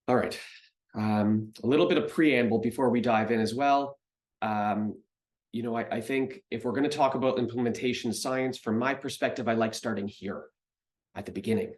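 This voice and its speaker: Canadian man giving a relaxed recorded lecture. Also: mid-range voice, normal speed. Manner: conversational with frequent ums and uhs. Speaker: researcher giving a recorded talk. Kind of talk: presentation lecture.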